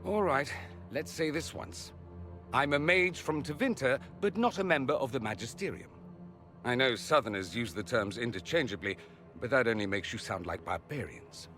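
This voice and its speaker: An actor playing a witty, self-assured foreign mage in a video game, with an arch, flamboyant manner. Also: male voice, medium pitch, lively intonation, moderate pace, a bit bright, faint music behind, close to an everyday voice. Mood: arch, explaining. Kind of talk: video game dialogue acting.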